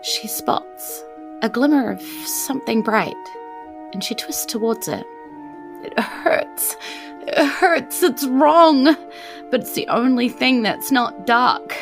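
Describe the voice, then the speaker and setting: A woman's voice: on the high side, animated, slow pace, creaky, faint music behind. A woman reading dramatic scripted story narration in a tense, suspenseful manner.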